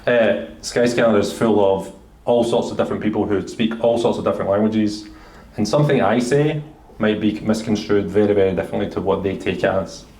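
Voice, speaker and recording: Low-voiced Glaswegian man in a roomy hall. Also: fairly quick, a little mellow, far from the mic. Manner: storytelling, chatty with restarts. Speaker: panelist. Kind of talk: casual panel talk.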